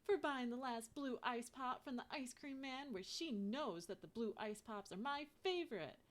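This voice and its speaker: A woman voicing a cross young girl in a storybook, calling out loudly and indignantly. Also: on the high side, normal speed, pitched above the performer's own voice, close to an everyday voice. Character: cross young girl sulking at her best friend.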